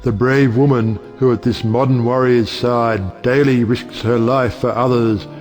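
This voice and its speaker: An actor playing a jovial, sentimental older judge in an audio play, declaiming in a drawn-out, theatrical way. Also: male voice, medium pitch, fairly even intonation, a little mellow, soft background music, lightly acted. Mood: oratorical.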